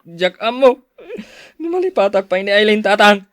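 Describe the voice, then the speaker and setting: A man's voice: high-pitched, animated, moderate pace, a bit bright, clear, resonant tone, dry recording. An actor with a Filipino accent playing a lovesick, heartbroken man who drinks, with an emotional, pleading delivery in radio drama style. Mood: despairing.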